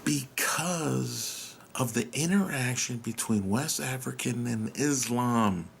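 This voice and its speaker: American dude thinking out loud, gravelly voice, kinda rambly, faint hiss behind him. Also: male voice, mid-range voice, slow. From United States. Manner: musing, self-questioning, trails off; drops to a whisper at times, casual swearing. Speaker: podcast host. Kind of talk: rambling solo monologue.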